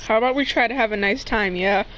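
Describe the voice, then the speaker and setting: A woman's voice: on the high side, quick pace, slight background noise, close to an everyday voice, low-quality recording. A woman voicing a caring, reassuring male gang leader in an amateur fanfiction reading. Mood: soothing. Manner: gentle, reassuring. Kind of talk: amateur fanfiction read-aloud.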